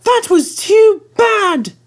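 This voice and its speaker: A man voicing grumbling, protesting railway coaches in a storybook with a slow, shrill whine. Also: lively intonation, full character voice. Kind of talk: storybook character voice.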